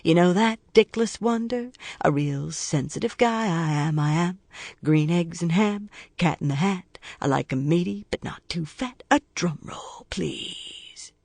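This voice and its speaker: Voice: mid-range voice, unhurried, a little mellow, dry recording. A narrator voicing a menacing male death-row killer who taunts in a crude, mocking singsong and sneering whispers.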